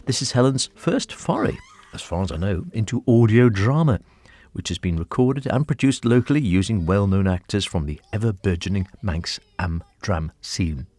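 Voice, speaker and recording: A man's voice: lowish voice, lively intonation, normal speed, a little mellow, no echo. A man presenting a radio arts show in a scripted style as its host.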